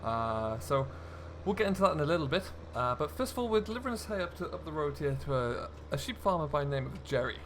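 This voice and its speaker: A man's voice: a little high, very animated, fast, few pauses. A British man hosting a farming game role-play in casual commentary, chatting and thinking aloud as he works. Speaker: gaming video host playing a farm contractor. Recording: clear background vehicle and ambient noise.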